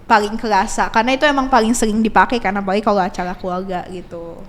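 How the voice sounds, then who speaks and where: A woman's voice: medium pitch, fairly even intonation, quick pace, slight background noise. A teenage girl talking casually as a guest on a school podcast, chatty, with many fillers and frequent laughter. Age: teens.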